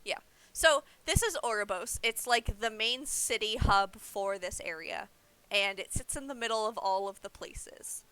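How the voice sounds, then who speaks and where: Bright-voiced young woman streaming a game. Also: mid-range voice, measured pace, clear, resonant tone, rough recording. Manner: explanatory, informal. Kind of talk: casual livestream gameplay commentary.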